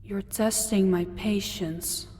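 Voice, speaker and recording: A woman playing a commanding, possessive demon lord in an audio roleplay, speaking in a cold, deliberate, imperious manner. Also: medium pitch, steady tone, warm tone, close to an everyday voice. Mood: possessive, warning. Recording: long hall-like reverb.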